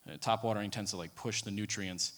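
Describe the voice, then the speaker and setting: A man giving a casual technical talk at a conference, pausing often with ums and restarting his sentences. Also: on the low side, fairly even intonation, a bit bright. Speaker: presenter. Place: conference room. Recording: hall reverb.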